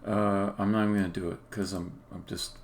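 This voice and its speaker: A man's voice: sits low, level tone, fairly quick, a little hiss or hum. A man giving casual live-coding commentary as a game developer, muttering to himself while he works.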